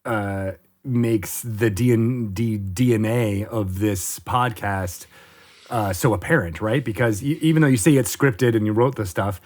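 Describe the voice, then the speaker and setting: A man's voice: fairly low pitch, unhurried. Easygoing man hosting a podcast. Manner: easygoing, laughs along, hesitant fillers. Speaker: podcast host. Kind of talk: casual podcast interview.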